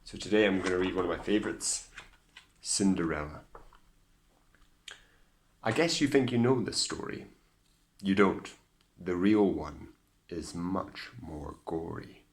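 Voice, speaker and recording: A Scottish man reading aloud as a teacher to children, in an expressive, theatrical storytelling style with hushed asides. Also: low-pitched, measured pace, pauses often.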